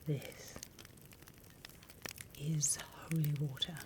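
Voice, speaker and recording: A British woman performing an ASMR roleplay as a class instructor, mostly whispering in a breathy, gentle, conspiratorial way. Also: low voice, fairly even intonation, extremely slow, background noise. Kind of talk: ASMR roleplay, whispered and soft-spoken lesson.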